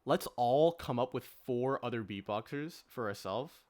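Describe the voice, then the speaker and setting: A man's voice: medium pitch, expressive, a little slow, darkish tone. A man hosting a casual panel discussion, talking in a jokey, slangy way. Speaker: podcast host.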